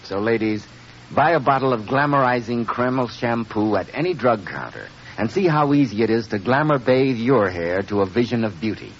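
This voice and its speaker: A man's voice: medium pitch, expressive, moderate pace, a little hiss or hum. A man announcing in polished 1940s radio style as a programme announcer. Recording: muffled old radio broadcast, loud music.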